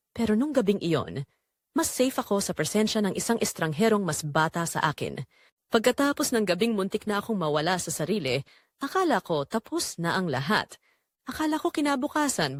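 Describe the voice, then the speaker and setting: Expressive woman narrating, close dry sound. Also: medium pitch, a little fast, no room sound. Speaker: audio drama narrator. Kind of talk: scripted first-person romance narration.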